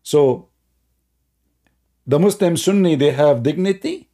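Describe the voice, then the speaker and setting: Man, mellow voice. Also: fairly high pitch, lively intonation, slow pace. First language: Arabic. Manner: loose, talky storytelling with non-native grammar and frequent fillers. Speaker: commentator. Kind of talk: casual commentary while showing a video on screen.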